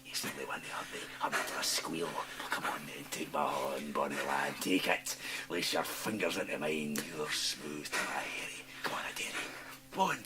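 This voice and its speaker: A man's voice: a bass voice, normal speed, over loud music. An actor playing a sly, menacing, gleeful Scottish man in a radio drama, taunting and insinuating, breaking into hissing whispers. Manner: taunting, insinuating, swinging from cajoling to snarling.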